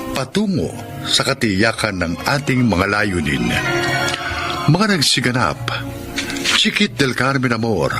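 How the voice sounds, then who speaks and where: A man narrating and announcing in a scripted, dramatic radio drama style. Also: on the low side.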